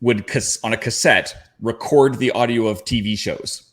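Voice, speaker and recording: A man's voice: medium pitch, normal speed, over a noisy crowd. Male panelist from Canada, mid-range voice, casual anecdotal fan talk.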